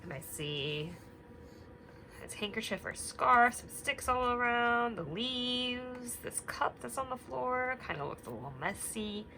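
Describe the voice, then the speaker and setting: Gentle sing-song woman teacher. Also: fairly high pitch, expressive, unhurried, a little hiss or hum, rough recording. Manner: gentle, sing-song, asks many questions. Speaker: teacher reading to children. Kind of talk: read-aloud lesson for young children.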